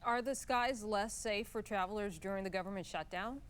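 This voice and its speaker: A woman's voice: mid-range voice, moderate pace, smooth. An American woman working as a TV news anchor, reading introductions and putting questions in a broadcast interview style.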